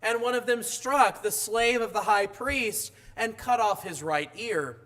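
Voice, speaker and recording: A hoarse man delivers a church sermon in a high-pitched voice. He keeps stumbling into fillers and restarts as he speaks. His voice sounds rough and strained. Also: a bit bright. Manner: halting, frequent uh fillers and restarts. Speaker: preacher.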